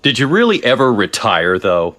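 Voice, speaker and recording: An actor playing an eccentric, cheerful scientist in a video game cutscene, theatrical and gleeful with precise phrasing. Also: male voice, medium pitch, huge pitch swings, moderate pace, fairly bright tone. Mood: teasing. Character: eccentric, cheerful male scientist, giddy about data.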